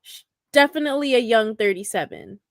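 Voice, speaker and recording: Lively, higher-voiced woman. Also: fairly quick, no room sound, clean studio sound. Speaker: podcast panelist. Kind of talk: casual chat, short interjections.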